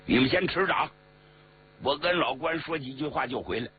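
A male storyteller acts out an evasive soldier in a war tale. He speaks haltingly and apologetically, as if helpless before his orders. The recording is muffled and hums faintly. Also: fairly high pitch, natural voice. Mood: low-key. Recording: muffled radio-like recording with mains hum.

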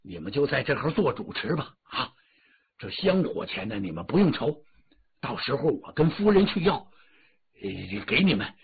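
A man's voice: mid-range voice, very animated, normal speed, creaky, breathy edge, phone-quality sound, full character voice. A man voicing Li Xing, a loyal household steward who talks earnestly and stammers. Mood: instructing.

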